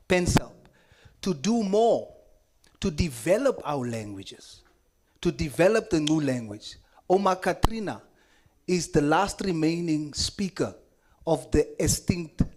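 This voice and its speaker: An earnest South African man, Afrikaans his first language, addresses a committee with conviction. His voice sits a little high for a man, and he leans on repeated phrases to drive points home. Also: a little mellow. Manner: earnest, impassioned, emphatic repetitions. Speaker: member speaking in a committee hearing. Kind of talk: formal spoken address.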